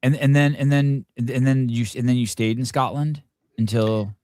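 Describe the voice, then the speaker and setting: A man's voice: medium pitch, steady tone, normal speed, a little mellow, no room sound, studio quality. A middle-aged man hosting a live interview, rambling and self-deprecating with many restarts. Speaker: podcast host.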